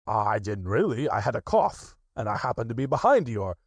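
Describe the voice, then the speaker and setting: A man voicing a bouncy, excitable male tiger with a lively, cheerful delivery. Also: mid-range voice, normal speed, breathy edge, no echo. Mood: defensive.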